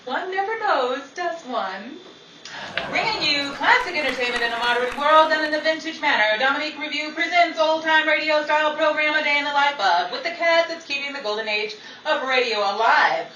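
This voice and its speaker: Wisecracking 1940s radio announcer gal, high and theatrical, heard in an echoey noisy room female performer. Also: brisk, bright, forceful tone. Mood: showy, inviting. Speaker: announcer and actress. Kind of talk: 1940s radio show acting, announcer delivery. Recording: echoey room, distant mic, crowd noise.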